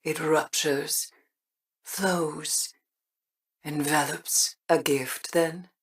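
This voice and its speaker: An actress playing an enigmatic female alien collector in a hushed, mysterious way. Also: sits low, fairly even intonation, extremely slow, distant mic, heavily acted. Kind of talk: video game voice acting.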